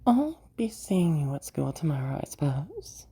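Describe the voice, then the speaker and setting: A woman's voice: low voice, very animated, moderate pace, mellow, breathy edge, voice pushed up, exaggerated voice. A woman playing a rich, playful and menacing young sociopath in an intimate audio roleplay, teasing and drawling. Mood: quietly menacing, teasing.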